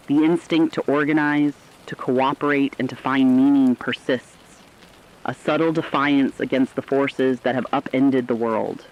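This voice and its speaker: Dull, slightly lo-fi audio of an actor as an earnest male radio correspondent. His mid-pitched voice delivers scripted dramatic news in a formal, measured way. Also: natural voice. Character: earnest radio reporter analysing a world catastrophe.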